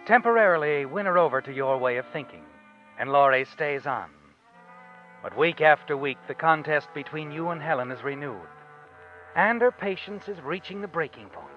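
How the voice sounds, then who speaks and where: An actor playing a knowing, insinuating narrator in a 1940s radio mystery, slyly taunting in dramatic phrases. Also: male voice, on the high side, lightly acted. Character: knowing, insinuating narrator addressing the guilty man. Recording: muffled old radio recording.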